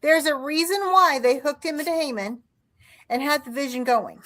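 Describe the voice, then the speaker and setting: A woman with a high-pitched voice, co-hosting a casual debate podcast. She argues emphatically and drops sarcastic remarks into the back-and-forth. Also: normal speed, a loud crowd. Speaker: podcast co-host.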